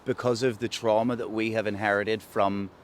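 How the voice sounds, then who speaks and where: A man's voice: lowish voice, little pitch movement, normal speed, a little hiss or hum. A man with a Northern Irish accent, speaking reflectively and candidly in a documentary interview. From Northern Ireland.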